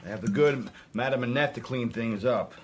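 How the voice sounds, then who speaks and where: Urbane, quietly probing man played by an actor, noisy ambience. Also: mid-range voice, normal speed, natural voice. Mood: hospitable, guarded. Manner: polite, casual, quietly probing. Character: urbane, probing married man, courteous but watchful host. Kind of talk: naturalistic radio drama dialogue. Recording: clearly noisy background ambience.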